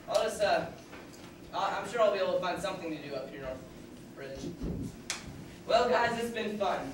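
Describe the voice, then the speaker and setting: A man playing a stubborn, law-abiding camp counselor in an amateur stage play, declaiming loudly and sometimes half-singing, recorded from far off in a hall. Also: high-pitched, noisy background, lightly acted. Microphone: far room mic. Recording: echoey hall from far off, with music.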